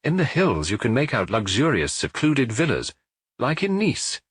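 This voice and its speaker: A man's voice: medium pitch, huge pitch swings, moderate pace, breathy edge, no room sound, voice pushed up. A man voicing a middle-aged French detective who muses aloud and jokes dryly, in an audiobook. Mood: musing. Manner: musing, dryly joking.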